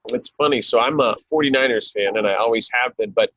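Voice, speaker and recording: A man's voice: medium pitch, fast talker, fairly bright tone, dry recording, dull audio. A man with an American accent hosting a podcast in a casual, chatty interview style, rambling and joking as he goes.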